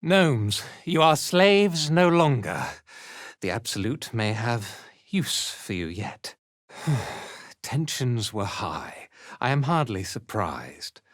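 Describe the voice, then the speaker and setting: A man playing a haughty, zealous dark elf cult commander in a video game, with an imperious, contemptuous and theatrical delivery. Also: mid-range voice, no room sound, studio quality. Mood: haughty, devout, then bitter, subdued. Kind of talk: scripted video game character acting.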